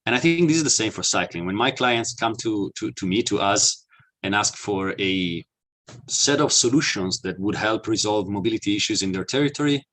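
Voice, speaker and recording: A man's voice: fairly low pitch, lively intonation, normal speed, a bit bright. A man from Italy with an Italian accent, giving an earnest, explanatory webinar talk with frequent fillers and restarts. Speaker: webinar presenter, mobility consultant.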